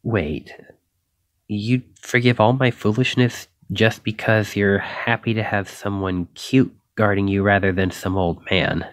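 Guy playing a nervous young knight for asmr roleplay, kinda low voice, stutters and second guesses himself, dry close sound. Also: steady tone, measured pace, no echo, lightly acted. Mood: flustered, apologetic. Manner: stammering, hesitant, apologetic. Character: shy, flustered, self-doubting young male knight.

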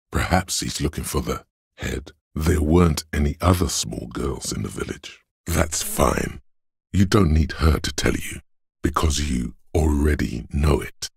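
A cool, analytical inner voice of logic from a video game. It is profoundly low and heavily husky, with crackling fry at the edges. The delivery is measured and matter-of-fact, reasoning things through deliberately. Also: male voice, dry recording, studio quality, heavily acted. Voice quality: gravelly, husky bass. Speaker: voice actor. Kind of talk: scripted game narration as an inner voice.

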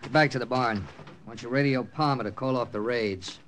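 A man's voice: mid-range voice, brisk, fairly bright tone, slight background noise, lightly acted. A man acting a tough, grim American intelligence captain who narrates an old radio drama tersely. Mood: urgent. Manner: terse, hard-boiled. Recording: old radio recording with music behind.

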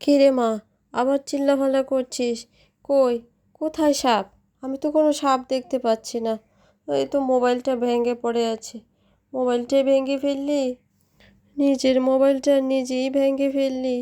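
A woman's voice: sits high, level tone, normal speed, pauses often, warm tone, lightly acted. A woman voicing a middle-aged village mother in a cartoon, caring but admonishing. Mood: scolding.

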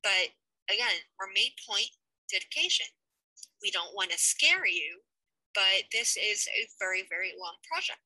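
Young woman host, very bright and sharp voice, earnest and rambling, dry headset sound in a small room. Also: medium pitch, big pitch swings, moderate pace, no room sound, rough recording. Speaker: channel host. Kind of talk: casual update to viewers. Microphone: headset mic on webcam.

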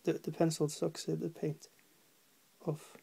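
Norwegian-accented man, slow and gravelly, halting hobby-tutorial narration at a desk. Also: fairly high pitch, completely flat delivery, mellow. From Norway. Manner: hesitant, halting, many fillers, humming while working. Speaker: hobby painter. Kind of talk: casual painting tutorial. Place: at a desk indoors.

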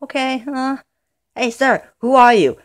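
A woman voicing a brave, bossy plumber hero in her own bright voice. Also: high-pitched, animated, measured pace, pitched below the performer's own voice. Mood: commanding.

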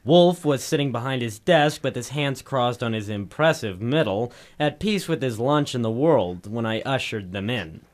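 An actor playing a brash, wisecracking detective's assistant and narrator, breezy and cheeky, in a 1940s radio drama. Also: male voice, medium pitch, animated, normal speed, hardly any pauses, natural voice. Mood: wry narration.

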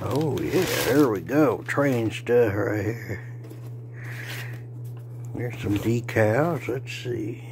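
Hoarse folksy country guy vlogging. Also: medium pitch, very slow, pauses often, noisy background. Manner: folksy, joking, drawling. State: hoarse, losing his voice. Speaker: railfan vlogger. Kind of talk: casual folksy vlog. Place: outdoors by a car, then indoors at home. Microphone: phone at arm's length.

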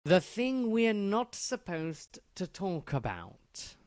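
A man voicing a patient but superior cartoon prisoner, measured and exasperated as he explains things in comedy dialogue. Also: high voice, sing-song, a little mellow, no room sound, clean studio sound, full character voice. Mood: didactic, exasperated.

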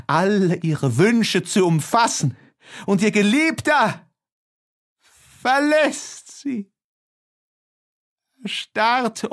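Slow-paced man reading a literary audiobook. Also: high-pitched. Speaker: audiobook narrator. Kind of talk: dramatic literary audiobook reading of a first-person classic novel.

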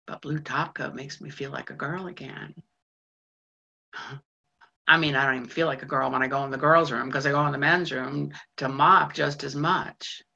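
An actress playing a lonely, lovesick woman who mops floors at a train station, talking bluntly and haltingly with plenty of swearing. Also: low voice, steady tone, vocal fry, sharp tone, slightly rough, lo-fi sound, full character voice. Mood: yearning. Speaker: actor in a monologue. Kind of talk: stage monologue.

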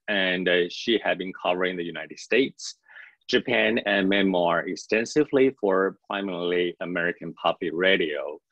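A young man moderating an online panel, introducing guests in a measured, formal way with small fillers. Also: low-pitched, fairly bright tone, no room sound. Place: indoor home office on a video call. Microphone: video call. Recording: dull video call audio.

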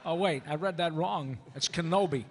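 A man's voice: on the high side, normal speed, natural voice. A man voicing an eager, awestruck young farm boy in a comic live script reading. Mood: curious, amused. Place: large convention hall stage. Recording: echoey convention hall, audience noise.

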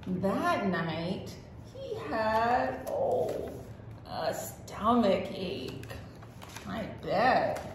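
A woman hosting a children's storytime as a librarian, reading aloud expressively and exclaiming as she addresses young listeners directly. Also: a little high. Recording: echoey room with faint noise.

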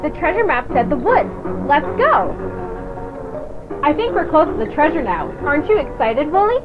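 High, swooping little cartoon girl. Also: sing-song, normal speed, muffled recording, loud music behind the voice. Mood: upbeat, instructive. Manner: chirpy, instructive, addressing the viewer with questions. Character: cheerful young girl host of a children's cartoon, subtly menacing. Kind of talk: children's educational cartoon show.

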